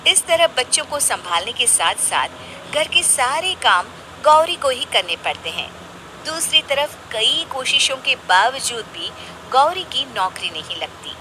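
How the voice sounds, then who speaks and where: A woman narrating an animated moral story for children in an expressive storytelling style. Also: high-pitched, unhurried, a little hiss or hum, low-quality recording.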